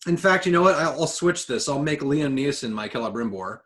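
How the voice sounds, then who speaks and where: A man's voice: on the high side, expressive, fairly quick, a bit bright. Casual man chatting on a podcast. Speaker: podcast co-host.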